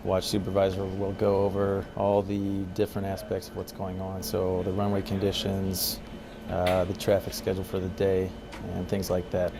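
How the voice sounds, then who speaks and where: A man's voice: sits low, completely flat delivery, normal speed. A man from the United States working as an air traffic controller, giving casual, plain-spoken interview answers about his job. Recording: clear ambient background noise.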